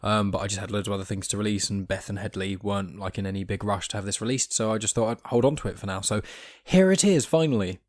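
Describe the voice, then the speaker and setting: A man's voice: lowish voice, quick pace, no room sound, clean studio sound. A man hosting a podcast in a casual, chatty style, with informal asides. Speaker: podcast host. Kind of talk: casual chat.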